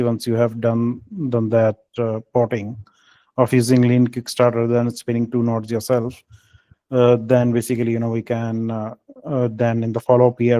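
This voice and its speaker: A man leading a technical team call, speaking in a halting, hesitant way with filled pauses. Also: mid-range voice, warm tone, no echo. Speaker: meeting lead.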